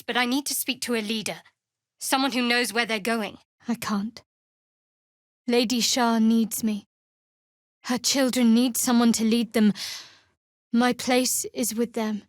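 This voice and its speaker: Woman acting a guarded, sardonic young cleric, higher-pitched. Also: no room sound, studio quality, natural voice. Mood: guarded, insistent. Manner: measured, wry, guarded. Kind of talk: video game voice acting.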